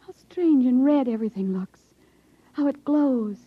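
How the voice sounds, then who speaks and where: An actress playing a devoted young scientist in a 1950s radio drama, with an earnest, breathless, melodramatic delivery. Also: female voice, sits high, normal speed, dry recording. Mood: weary, fearful. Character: devoted young female scientist fleeing an underground future society. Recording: muffled, husky-sounding 1950s radio recording.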